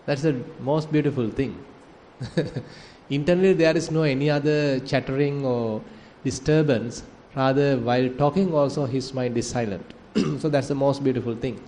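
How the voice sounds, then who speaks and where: A man with a Sri Lankan accent, a monk teaching in a gentle, hesitant way with soft laughs. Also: mid-range voice, moderate pace. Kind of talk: dharma discussion. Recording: light background noise.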